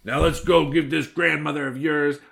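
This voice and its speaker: A man's voice: on the high side, steady tone, normal speed, creaky, a bit bright, pitched above the performer's own voice. A man voicing a bossy, fierce ten-year-old girl hero with a commanding, brash, emphatic delivery. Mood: bossy, rousing.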